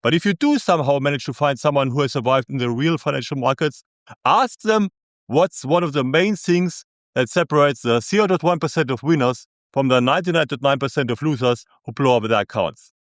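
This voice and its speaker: A man's voice: mid-range voice, fairly quick, no room sound, clean studio sound. A man with a German accent delivering scripted commentary in a scornful, ranting, sarcastic manner.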